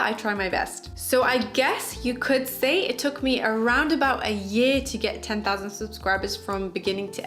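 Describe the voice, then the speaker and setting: A woman's voice: on the high side, a bit bright. A British woman in her twenties, a social media marketer, answering viewer questions in a chatty, upbeat vlog. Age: 20s. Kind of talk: casual Q&A vlog.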